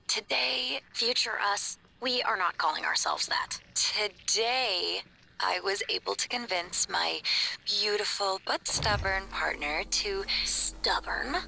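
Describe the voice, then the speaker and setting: An actress voicing a young woman crew member in love, intimate, playful and halting, in a naturalistic game style. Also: mid-range voice, gravelly, sharp tone, slight background noise, exaggerated voice, low-quality recording. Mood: playful, affectionate.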